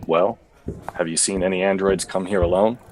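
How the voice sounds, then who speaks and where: An actor playing a bitter, boozy, clueless android-hunting detective in a noir radio play, with a hard-boiled, melodramatic deadpan. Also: male voice, deep voice, normal speed, slight background noise, lightly acted, low-quality recording. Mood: clueless, earnest.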